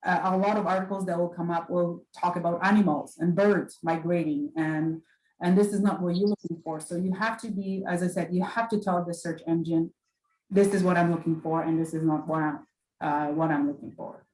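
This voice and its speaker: A woman's voice: lowish voice, steady tone, fairly quick, darkish tone, roomy sound, slight background noise, poor audio. A middle-aged woman from Poland, a librarian giving an orientation talk, hesitant and full of fillers. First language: Polish. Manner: hesitant, many fillers and restarts.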